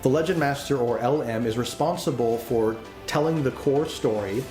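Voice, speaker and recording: A man's voice: mid-range voice, moderate pace, darkish tone. Male game master storytelling over music. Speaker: game master, host and game developer. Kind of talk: hosting and storytelling for a tabletop game.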